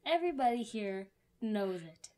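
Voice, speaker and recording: A woman's voice: on the high side, animated, moderate pace, mellow. A woman hosting a podcast in a casual, chatty style. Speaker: podcast co-host.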